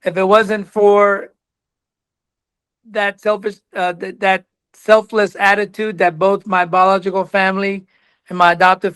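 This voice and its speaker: A man's voice: high voice, fairly bright tone, smooth, no room sound. A man in his forties from Colombia, raised in Texas, with a slight Texas accent, a college soccer coach telling his story earnestly as a podcast guest. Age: 40s. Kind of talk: podcast interview.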